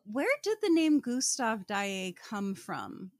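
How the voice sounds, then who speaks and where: A woman's voice: medium pitch, animated, takes it slowly, a little mellow, no echo, clean studio sound. A woman co-hosting a podcast, bantering casually about a book. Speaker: podcast co-host.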